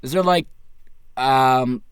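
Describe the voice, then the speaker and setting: A man's voice: mid-range voice, takes it slowly, clear, resonant tone, no echo, clean studio sound, natural voice. A young man with an American accent acting a defensive, needy slacker boyfriend in a naturalistic audio play, stammering in casual phrasing. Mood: flustered, polite.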